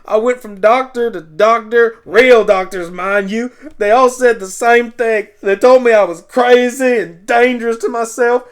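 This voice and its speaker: A man voicing a stern, hurt adult mare, a protective big sister with a forceful scolding manner, in an amateur radio play. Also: extremely high pitch, unhurried, hardly any pauses, smooth. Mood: furious, anguished.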